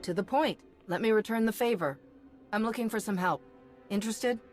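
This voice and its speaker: A woman voicing a composed, principled heroine in a video game, measured and earnest. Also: medium pitch, darkish tone, soft background music, lightly acted. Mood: calm.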